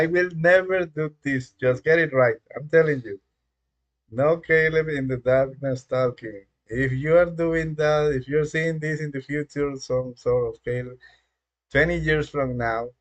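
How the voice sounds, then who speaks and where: A man whose first language is Spanish rambles through a live coding stream at an unhurried, slow pace. His pitch sits in an ordinary middle range, and he keeps stalling on fillers and breaking into small chuckles as he talks to no one in particular. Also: rough recording. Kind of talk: casual live-stream chat. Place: dark room at home.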